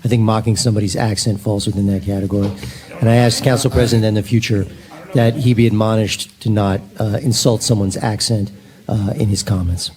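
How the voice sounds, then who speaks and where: A man's voice: lowish voice, flat delivery, fairly quick, darkish tone. A man on a town council raising a formal objection under the meeting rules. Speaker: council member. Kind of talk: procedural objection.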